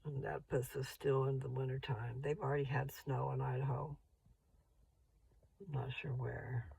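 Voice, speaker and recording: An older woman with a rough, gravelly voice, rambling slowly and chattily as she vlogs from her parked car. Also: very deep voice, flat delivery, warm tone, low-quality recording. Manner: meandering, slow, chatty. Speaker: vlogger. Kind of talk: rambling casual vlog.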